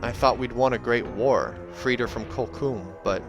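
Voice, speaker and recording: A man's voice: mid-range voice, moderate pace, music in the background, natural voice. A man reading as an earnest young warrior. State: hoarse.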